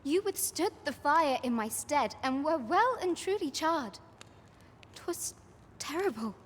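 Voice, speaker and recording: A woman playing a gentle, caring young village woman in a fantasy game, with formal, old-fashioned phrasing. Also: high voice, lively intonation, takes it slowly, slight background noise. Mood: relieved, tender. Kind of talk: archaic fantasy game cutscene acting.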